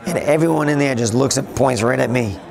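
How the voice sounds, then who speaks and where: A raspy, gravelly-voiced man chats loosely in an interview, doubling back and restarting his sentences as he goes. He sounds a bit hoarse from a long day of talking. Busy crowd chatter hums behind him on a convention floor. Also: medium pitch, brisk. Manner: rambling with frequent restarts. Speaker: interviewee, tech blogger. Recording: noisy crowded convention hall.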